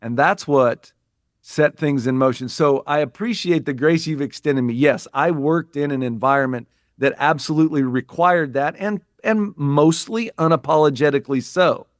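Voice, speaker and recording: A man's voice: on the high side, normal speed, no echo, studio quality. A man from the United States, a business coach and podcast guest, speaking earnestly and reflectively with frequent restarts. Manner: earnest, reflective, talks in restarts and repeated phrases. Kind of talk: conversational interview.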